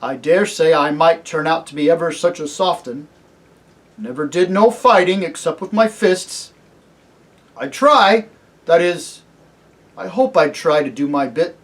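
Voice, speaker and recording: A plain-spoken storybook cabbie, played by a male reader with a Cockney accent softened toward English country speech. He is humble and deferential, pitched up from ordinary talk, hesitating and stumbling over his words. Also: animated, unhurried. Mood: hesitant, modest. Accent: Cockney shading into English country. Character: humble, flustered working-class cabbie made king. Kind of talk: storybook character reading.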